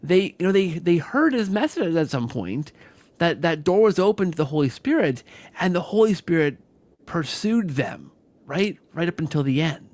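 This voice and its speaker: A man's voice: on the high side, big pitch swings, moderate pace, a little mellow, no echo. A man hosting a conversational interview, effusive, repeating words and often tagging sentences with "right?". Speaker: podcast host.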